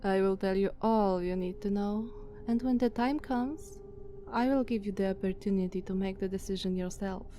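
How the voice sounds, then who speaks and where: A woman with a Slavic accent voicing a dominant, haughty female vampire master in a soft, commanding ASMR roleplay. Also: mid-range voice, slight background noise, natural voice. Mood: calm, imperious. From Slavic country. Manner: slow, soft, commanding, condescending.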